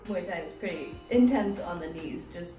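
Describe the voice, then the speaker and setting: Low-voiced American woman, echoey room. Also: normal speed. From United States. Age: 20s. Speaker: interview guest, Muay Thai fighter. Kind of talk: casual interview answers. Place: small hard tiled room indoors. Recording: echoey tiled room, distant mic, background music.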